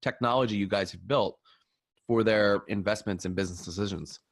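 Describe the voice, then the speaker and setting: Man, podcast host, low voice, casual and chatty, joking, dry close sound. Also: fairly quick, dry recording. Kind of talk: casual interview chat.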